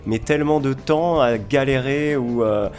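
Man, mid-range voice, from southern France, informal interview guest, enthusiastic explanations with pauses, faint guitar music. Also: mid-range voice, darkish tone. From Montpellier, southern France. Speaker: foil school owner and instructor, interview guest.

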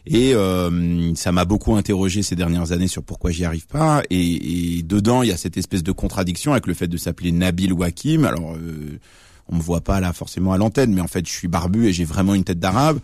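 A man's voice: low voice, fairly quick, a little mellow, no echo, clean studio sound. A man in his late thirties, a journalist and author being interviewed on radio, explaining things thoughtfully with many fillers and restarts. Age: late 30s.

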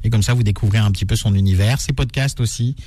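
A man hosting a casual radio chat, explaining recipes in a relaxed way with many restarts. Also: lowish voice, fast, no room sound. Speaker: radio show host, cook.

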